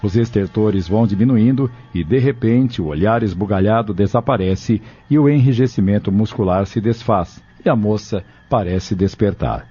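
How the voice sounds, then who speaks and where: A man's voice: on the low side, moderate pace, hardly any pauses, mellow, breathy edge. A man with a Brazilian accent reading scripted narration for an audio novel. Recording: dull recording with faint music.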